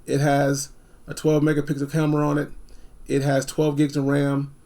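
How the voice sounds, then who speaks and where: Animated man with a higher-pitched, creaky voice. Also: normal speed. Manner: animated, emphatic, repeats phrases for effect. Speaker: product reviewer hosting a video. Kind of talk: casual, unscripted gadget review chat.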